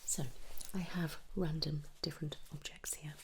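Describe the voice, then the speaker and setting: A woman speaking in soft, breathy whispers as a gentle, reassuring audiologist in an ASMR medical roleplay. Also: sits low, flat delivery, moderate pace, a little hiss or hum.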